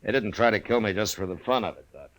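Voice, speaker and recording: Man acting deep low voice, American frontier marshal, steady, laconic, dry wit, unhurried, old-time radio western. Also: natural voice. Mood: watchful. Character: steady, dryly humorous lawman in his prime, Old West marshal.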